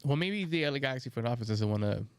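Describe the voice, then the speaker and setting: A man's voice: medium pitch, lively intonation, racing, clean tone, dry recording, studio quality. A man co-hosting a sports podcast, teasing and laughing, steering the chat along. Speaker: podcast co-host.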